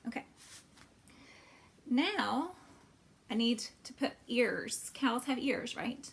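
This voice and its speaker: Animated high-voiced woman hosting children's storytime. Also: slow, gravelly. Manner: simple explanations and questions addressed to young children. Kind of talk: animated storybook read-aloud and craft talk for children.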